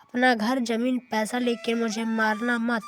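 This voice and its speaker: A woman's voice: on the high side, little pitch movement, measured pace, background noise, lightly acted. A woman voicing a scheming, cowardly male village headman in a cartoon moral story. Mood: terrified pleading.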